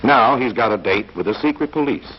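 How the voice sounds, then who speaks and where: A man's voice: mid-range voice, lively intonation, brisk, slightly rough. A man narrating a 1940s training film in a dramatic, hard-boiled scripted style, as if talking to the character. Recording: muffled, faintly hissy 1940s film soundtrack.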